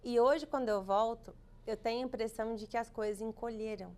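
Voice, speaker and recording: A woman from Minas Gerais co-hosting a podcast, chatting warmly and addressing the audience directly. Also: a little high, steady tone, brisk. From Minas Gerais, Brazil. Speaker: podcast co-host. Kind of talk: podcast host chat and sponsor read.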